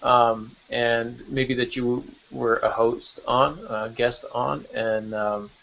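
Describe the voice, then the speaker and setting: A man's voice: mid-range voice, fairly even intonation, takes it slowly, creaky, a bit bright, a little hiss or hum. Male podcast host, slightly muffled audio. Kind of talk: casual podcast chat.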